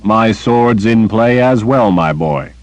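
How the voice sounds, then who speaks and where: A man voicing an old male wizard, boastful and theatrical, with a slow, drawling, grand manner. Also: fairly low pitch, animated, darkish tone, no echo, voice pushed up.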